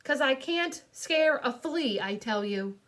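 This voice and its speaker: Woman acting a feisty, high-voiced little girl. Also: lively intonation, takes it slowly, exaggerated voice. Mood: glum. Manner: loud, emphatic, shrieking bravado. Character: feisty, dramatic little girl playing a scary clown. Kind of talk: children's audiobook character acting.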